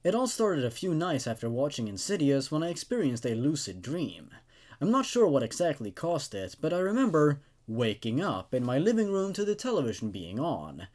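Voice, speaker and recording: Expressive man reading and reviewing horror stories. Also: on the high side, normal speed. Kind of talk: dramatic story reading and casual review commentary.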